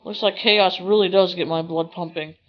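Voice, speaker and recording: Female game streamer, dramatic read-aloud, middling pitch for a woman, dull sound, faint music behind. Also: fairly even intonation, normal speed. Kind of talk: dramatic read-aloud of visual novel narration.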